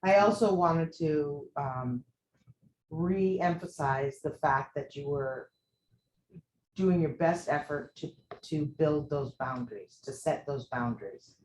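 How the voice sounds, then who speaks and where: A nursing instructor giving measured, reflective feedback to a student, with thoughtful pauses. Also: medium pitch, a little mellow, slight background noise. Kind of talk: simulation debrief. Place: simulation room. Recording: echoey room with faint ambience.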